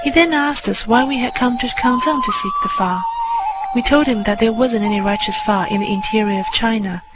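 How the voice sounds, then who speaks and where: A woman narrator with a slightly high voice tells a first-person story in a measured, scripted way. Music plays clearly behind her throughout. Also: steady tone. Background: music under the voice.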